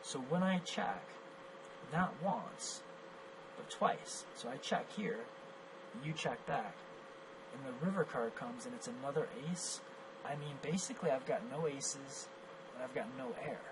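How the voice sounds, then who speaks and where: A hoarse man who has lost his voice, analyzing poker hands in a self-critical, think-aloud way as a coach reviewing his own match. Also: medium pitch, measured pace, background noise. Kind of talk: screen-recorded hand review commentary.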